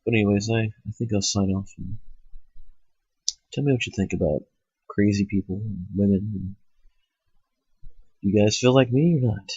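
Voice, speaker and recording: A husky man with a lowish voice, stuffed up from a cold, rants bluntly and swears a lot, wandering through his points with plenty of fillers. It is a dull, lo-fi home recording, close and dry with no room sound. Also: fairly even intonation, normal speed, pauses often, mellow, no room sound. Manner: profane, blunt, rambling with fillers. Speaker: host. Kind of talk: ranting monologue. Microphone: cheap microphone. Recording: lo-fi home setup.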